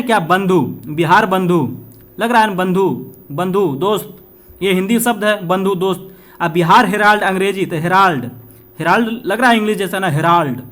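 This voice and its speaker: A man's voice: high-pitched, fairly bright tone. A man from India, teaching exam revision informally, repeating points and answering his own questions, with chatty check-ins. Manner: repetitive, asks questions then answers them, chatty check-ins with listeners.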